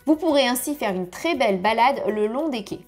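A woman in her thirties giving travel tips to camera from her home office, lively and chatty, on a lapel mic. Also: on the high side, fairly quick, faint music behind. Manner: lively, chatty, gesturing with hands. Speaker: family travel vlogger. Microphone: clip-on lapel mic.

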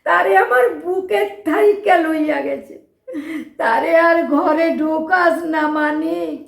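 A very high, creaky voice of a poor old East Bengali woman, worn down by grief. She speaks slowly and plaintively, close to wailing, in an emotional radio play with a roomy echo. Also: heavily acted. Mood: anguished. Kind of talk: emotional audio drama acting.

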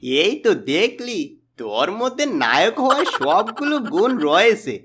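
A man playing a sly, greedy, boastful village swindler in a cartoon moral story, with an animated, coaxing manner. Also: high-pitched, normal speed, slight background noise, heavily acted. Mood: coaxing flattery. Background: background music.

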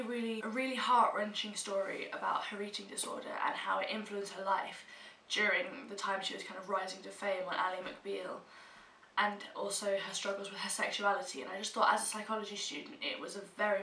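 A woman's voice: mid-range voice, bright, forceful tone. A young British woman vlogger with a stuffy cold, chatting casually and emphatically to camera in a room at home. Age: young adult. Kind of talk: casual monthly favourites chat to camera. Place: small room at home, indoors. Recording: roomy echo, distant mic, faint hiss.

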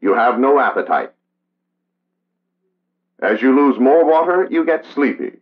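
An American man giving measured, solemn, scripted narration in the style of a 1960s training documentary. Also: mid-range voice, sing-song. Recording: dull vintage film soundtrack. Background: music.

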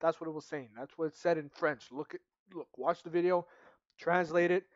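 Young male gamer, hoarse from screaming, high-pitched, frightened muttering into a headset. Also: steady tone, brisk, dry recording, highs cut off. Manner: jumpy, panicky, screams and mutters. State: losing his voice, hoarse. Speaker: gaming YouTuber. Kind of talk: horror game let's play commentary. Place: small room at home, indoors. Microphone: headset mic.

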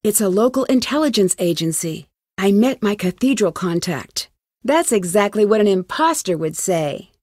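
A woman voicing a young amateur detective in a video game, inquisitive and determined, with a clear, earnest, questioning delivery. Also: medium pitch, expressive, moderate pace, darkish tone, dry recording, clean studio sound, lightly acted. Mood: wry, casual. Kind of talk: scripted game dialogue, isolated lines.

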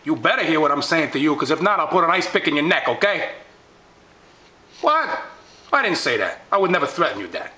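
A man voicing a gruff, dapper toy doll who grumbles low complaints about its outfit and drops menacing asides. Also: very animated, fairly quick, pauses often, bright, forceful tone. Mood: indignant.

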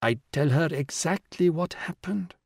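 Guy doing a little girl in an audiobook, direct and curious, pitched up, close dry sound. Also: expressive, a little slow, creaky, no room sound, heavily acted. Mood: frank. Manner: inquisitive, direct. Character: frank, matter-of-fact little girl.

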